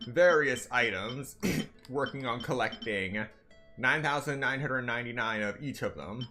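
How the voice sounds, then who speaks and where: A man giving live game stream commentary in a deliberate, halting way. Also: medium pitch, bright, forceful tone. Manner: deliberate, halting phrasing with frequent throat clearing. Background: faint game music.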